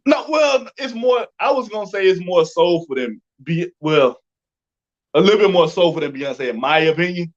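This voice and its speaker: A man hosting a casual interview, speaking in a halting, conversational way with backtracking. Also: high-pitched, animated. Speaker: interview host. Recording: dull, muffled lo-fi audio.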